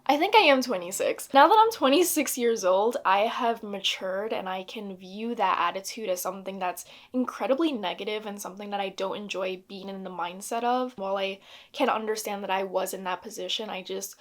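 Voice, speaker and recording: A woman's voice: medium pitch, a little fast. A woman in her twenties talking casually to camera in a reading vlog, chatty and earnest, in a small home office. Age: 20s. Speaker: book vlogger.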